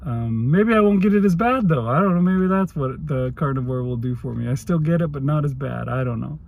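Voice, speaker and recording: A man's voice: on the high side, lively intonation, brisk, gravelly, phone-quality sound, a little hiss or hum. A man in a casual video diary, musing aloud and unsure of himself. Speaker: health vlogger.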